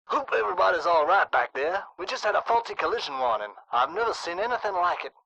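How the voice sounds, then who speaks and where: Chatty shaken pilot on a hissy radio intercom, highish bright voice, video game. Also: male voice, very animated, fast talker, creaky, heavily acted. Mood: rattled, apologetic. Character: rattled but chatty male pilot on intercom. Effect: radio intercom filter. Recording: muffled, noisy radio intercom.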